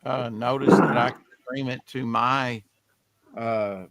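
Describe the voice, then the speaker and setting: A male podcast host with a slightly raspy voice, chatting casually in a rambling way, full of fillers and trailing off. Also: mid-range voice, steady tone, slow pace, background noise. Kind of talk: casual chat.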